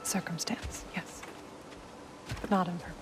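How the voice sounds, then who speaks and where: A woman playing a calm, wise, loving wife in a game cutscene, gentle and measured with a light tease. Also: on the low side, whispered, lightly acted. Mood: soft, resolute. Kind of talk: intimate video game cutscene acting.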